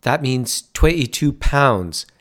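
A man voicing a bored young ticket girl with an American accent, speaking slowly and deadpan. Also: mid-range voice, pitched above the performer's own voice. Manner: slow, drawling, deadpan.

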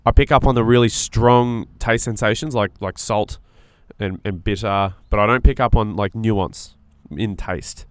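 Podcast co-host, male, lowish nasal voice, earnest and reflective, dry close sound. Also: a little slow, few pauses, dry recording. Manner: earnest, searching, restarts often. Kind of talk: casual reflective chat.

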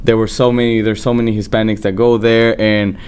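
A man's voice: fairly low pitch, little pitch movement, a little fast, darkish tone, clear, resonant tone. A man from El Salvador, interviewing a guest in an informal, conversational way. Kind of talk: casual interview.

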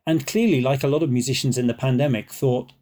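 A man talks through early music with a mellow, warm tone. He is chatty and scholarly, pausing with ums and drifting into tangents. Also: mid-range voice. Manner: digressive, hesitant, scholarly. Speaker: guest musician. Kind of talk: chatty expert explanation.

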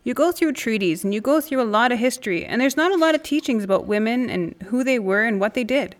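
A woman's voice: fairly high pitch, expressive, fast talker, close to an everyday voice. A woman playing a candid, indignant friend in a naturalistic audio drama conversation. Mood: questioning. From Canada, Saskatchewan.

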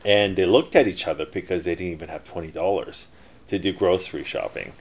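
A man's voice: low-pitched, steady tone, a little fast, husky. A middle-aged man telling his life story in a casual interview, rambling with many fillers and restarts. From Canada. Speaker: interview guest, entrepreneur.

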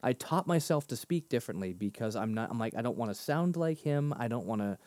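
A man co-hosting a casual faith discussion, talking animatedly and breaking into higher voices when he quotes himself. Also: brisk, dry recording. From Boston area. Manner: animated, laughs often, jumps pitch in quoted asides. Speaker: podcast co-host.

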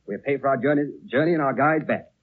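An actor playing an impetuous, excitable, impatient young Englishman in a 1930s radio drama. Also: medium pitch, fast, breathy edge, close to an everyday voice. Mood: impatient, irritated. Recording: muffled, noisy 1930s radio recording.

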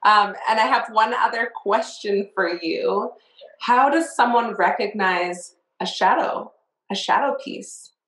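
A woman's voice: mid-range voice, unhurried, a bit bright, echoey room, rough recording. A woman hosting a podcast, chatting casually and telling a personal story in an open, reflective way with easy laughs. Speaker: podcast host. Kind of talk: casual interview chat.

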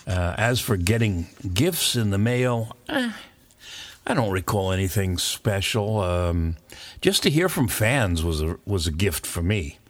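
Older guy, super deep voice, rambling radio war stories, dry close sound. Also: big pitch swings, a little slow, no echo. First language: not French; English speaker. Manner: rambling, anecdotal, with fillers and chuckles. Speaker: former pirate radio DJ, guest. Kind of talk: relaxed storytelling interview.

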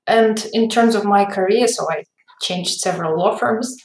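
A woman's voice: medium pitch, moderate pace, a little mellow, reverberant, rough recording. A woman in her forties, a lawyer being interviewed on a podcast, who explains things carefully with small slips in her English. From Ukraine. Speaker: arbitration lawyer, guest.

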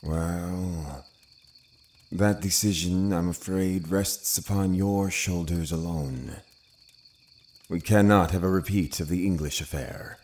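A stern, pious older Puritan man in a period audio drama. He speaks low and slowly, with grave, measured formality. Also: steady tone, darkish tone. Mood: grave, resolute.

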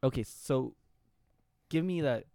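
Male host, average pitch, halting questioner, dry studio mic. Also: vocal fry, dark tone, no room sound. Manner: prodding questioner, halting restarts. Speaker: podcast host. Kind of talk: casual interview chat.

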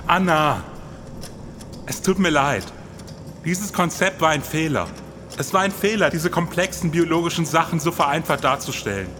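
A man's voice: moderate pace, bright, forceful tone, faint music behind. A man acting an anxious podcaster on a perilous expedition in a scripted radio play. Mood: pleading. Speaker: podcast performer. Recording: noisy sound-effect background, vehicle-like rumble.

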